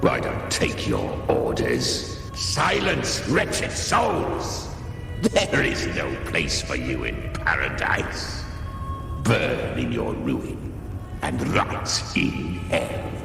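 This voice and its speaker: A man voicing an ancient, monstrous male demon in a video game, grandiose, scornful and vengeful, with a gravelly, menacing voice. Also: wildly swooping pitch, drawn-out words, a bit bright, soft background music, exaggerated voice. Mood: wrathful command. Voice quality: gravelly, very deep. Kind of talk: dramatic video game acting. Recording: echoing, long cavernous reverb, distant.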